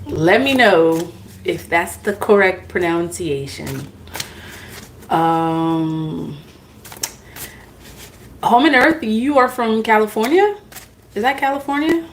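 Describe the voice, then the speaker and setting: Slow-talking chatty woman, non-native speaker. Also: mid-range voice, animated, a little hiss or hum. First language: not English. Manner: chatty, laughs at herself, greets viewers by name. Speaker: tarot reader hosting a live stream. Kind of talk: casual live-stream chat.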